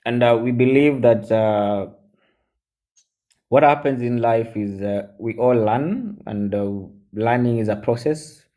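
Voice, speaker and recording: A man in his forties, chatting on a live stream as a guest, halting with many fillers and switching into Swahili. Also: mid-range voice, warm tone. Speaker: guest mentor. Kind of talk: casual live-stream chat.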